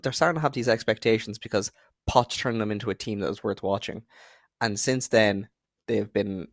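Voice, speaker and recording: A man's voice: medium pitch, dry recording, studio quality. A man co-hosting a football podcast, giving his opinions in casual, conversational talk.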